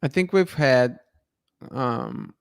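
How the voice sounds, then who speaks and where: A man's voice: mid-range voice, animated, slow, creaky, clean tone, no echo, studio quality. A man from Russia, a channel host chatting casually and answering viewer comments with frequent restarts and laughs. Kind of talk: casual live-stream chat reading viewer comments.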